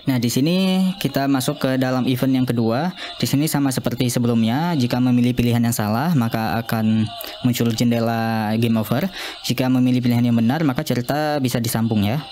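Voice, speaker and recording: Young male, mellow and dark-toned, informal tutorial delivery with improvised, slightly hesitant phrasing. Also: medium pitch, fairly even intonation, a little fast, soft background music. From Indonesia. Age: young adult. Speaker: student presenter of an app demo. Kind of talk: informal screen-demo walkthrough.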